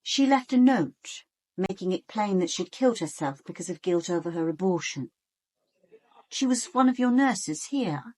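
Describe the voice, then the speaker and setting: An actress playing a sharp-minded British police detective in a radio drama, with controlled, deliberate and pointed delivery. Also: female voice, mid-range voice, lively intonation, close to an everyday voice. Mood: pointed, probing. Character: sharp-minded, ambitious female police detective questioning suspects. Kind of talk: radio drama acting with first-person narration.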